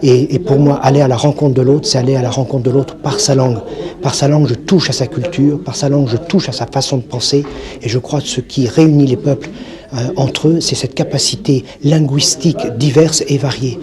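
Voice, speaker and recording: Man, trade unionist, press conference, halting with fillers, crowd behind. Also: mid-range voice, steady tone. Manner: hesitant, many fillers.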